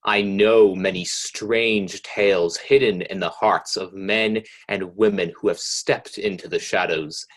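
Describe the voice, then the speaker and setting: A man acts out a shadowy storyteller of dark tales for a radio suspense play. His voice sits low and he takes his time, deliberate and ominous with every phrase. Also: steady tone. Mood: ominous, knowing. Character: mysterious, ominous all-knowing male narrator of dark tales.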